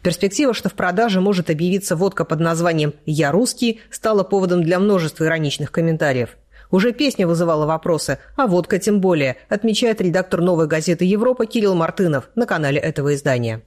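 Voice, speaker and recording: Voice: mid-range voice, animated, quick pace, darkish tone. A news narrator reading a scripted report in a matter-of-fact way.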